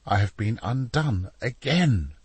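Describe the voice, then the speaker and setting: A man's voice: mid-range voice, expressive, takes it slowly, slightly rough, no room sound, full character voice. Man playing a stubborn master painter. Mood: weary, stubborn. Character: stubborn, absorbed older master painter, male.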